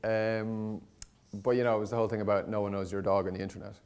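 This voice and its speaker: A man's voice: on the low side, little pitch movement, fast, darkish tone, clean tone. A man giving an informal conference presentation in a conversational, rambling way, often correcting himself and speaking in blunt, colloquial terms. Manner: conversational, hesitant, rambling with frequent self-corrections. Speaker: conference speaker on startup content marketing.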